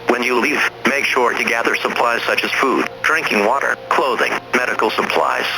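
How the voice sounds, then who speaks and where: A man reading a scripted emergency broadcast announcement in a formal, measured, impersonal manner. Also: medium pitch, background noise.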